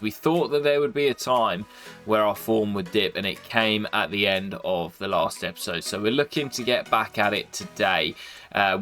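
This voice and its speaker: A young man with a nasal voice from a cold, commentating his football game in a casual play-by-play that jumps up excitedly at big moments. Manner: excitable, bursts up in pitch at goals and misses. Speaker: gaming YouTuber commentating his career mode.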